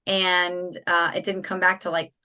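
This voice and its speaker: A woman hosts a relaxed interview over a video call. Her voice is bright and forward, though a slight rasp lingers from recently losing it. She talks casually and affirms her guest often. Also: fairly low pitch, unhurried. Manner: warm, affirming, frequent agreeing interjections. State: slightly raspy from a lost voice. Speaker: podcast host.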